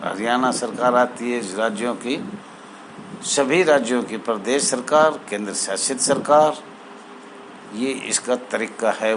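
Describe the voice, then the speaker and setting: Male, mid-pitched, slow and halting association spokesman explaining procedure formally, with clear traffic-like noise behind him. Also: rough recording. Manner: halting, formal honorifics, repeats phrases. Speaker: home guard association spokesman. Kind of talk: informal explanatory address to association members.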